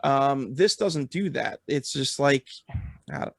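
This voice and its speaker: A man's voice: mid-range voice, slow pace, a bit bright, no echo, studio quality. A man hosting a casual film review chat, speaking in an opinionated, emphatic way. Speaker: podcast host.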